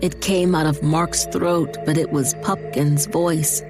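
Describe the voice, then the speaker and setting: Woman narrator, slightly low voice, dramatic audiobook read, music behind her. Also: steady tone, takes it slowly.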